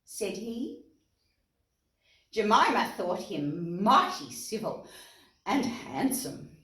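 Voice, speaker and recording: A woman's voice: mid-range voice, slow. An Australian woman presenting storytime for young children, reading aloud expressively and explaining things simply. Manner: expressive, asks listeners questions and explains words simply. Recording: roomy echo, distant mic, guitar music.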